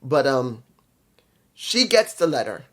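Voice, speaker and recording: A man's voice: medium pitch, very animated, slow, a bit bright. A man with a congested, nasal voice from allergies, giving a casual spoken review of a wrestling show with rambling restarts.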